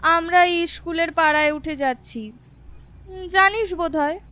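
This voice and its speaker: A kindly village housewife in an audio story, speaks high and slow. She is affectionate and exclamatory, drawing out her words over faint insect noise. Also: bright, forceful tone, heavily acted. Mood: tender, wistful. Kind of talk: audio story drama acting.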